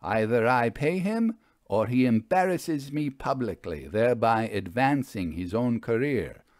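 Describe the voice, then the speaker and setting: A man's voice: medium pitch, lively intonation, a little slow, a little mellow, no echo, lightly acted. Male reader as theatrical magician, proud, evasive, florid formal speech, swooping intonation, storybook character, close dry sound. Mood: theatrical, aggrieved. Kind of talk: dramatized audiobook storytelling.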